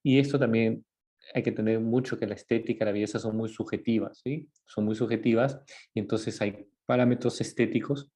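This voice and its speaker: A man from Ecuador with a low, mellow voice gives a relaxed, informal lecture on dentistry. He talks conversationally, filling pauses and checking in with his listeners with tag questions. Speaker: dentist giving a talk.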